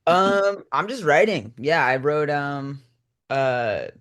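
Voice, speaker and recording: A man's voice: fairly high pitch, animated, slow pace, a bit bright, clear, resonant tone. A man talking casually as a writer guest in an interview, informal and peppering his talk with like. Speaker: screenwriter guest. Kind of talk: casual chat.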